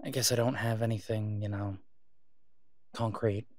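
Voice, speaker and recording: A man playing a devoted, reassuring boyfriend in an intimate roleplay audio drama, speaking softly and haltingly in a conversational way. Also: fairly low pitch, fairly even intonation, dry recording, natural voice. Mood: worried, hesitant.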